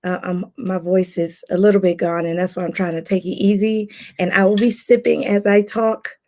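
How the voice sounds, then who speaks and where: A hoarse woman, a life coach, hosting a casual livestream and greeting viewers by name. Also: fairly low pitch, fairly even intonation, normal speed, dark tone.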